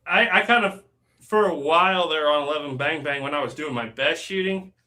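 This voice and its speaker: A man's voice: a little high, brisk, bright, forceful tone. A man talking as a guest on a casual live stream, chatty, with lots of fillers and easy laughs.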